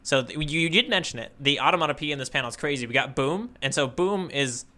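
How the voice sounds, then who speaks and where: A man's voice: a little high, fast talker, pushed and bright. A man co-hosting a casual manga readalong podcast, chatting with playful, teasing banter. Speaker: podcast co-host.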